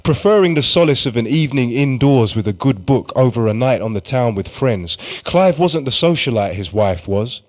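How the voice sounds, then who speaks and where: Male narrator, medium pitch, scripted dramatic storytelling for a radio play. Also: animated, moderate pace, hardly any pauses, darkish tone. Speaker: narrator and writer-performer.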